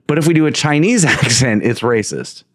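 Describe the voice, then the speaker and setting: Male podcast co-host, teasing and playful, wide pitch swings, dry close mic. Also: lively intonation, normal speed, no room sound. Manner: teasing, reads articles aloud. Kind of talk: casual chat.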